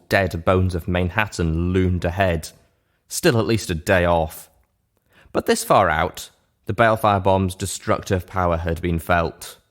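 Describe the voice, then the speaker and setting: A man narrating a first-person story as a reader. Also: low voice. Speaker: audiobook-style reader.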